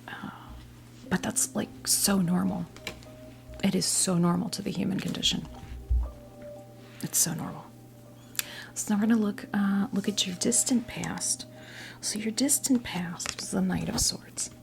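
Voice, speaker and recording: An American woman in her fifties giving a tarot reading as ASMR role play, whispering softly in a halting, gently reassuring way. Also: mid-range voice, steady tone, soft background music. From New Jersey, US. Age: 50s. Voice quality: whispered, breathy soft voice. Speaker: ASMR performer playing a tarot reader.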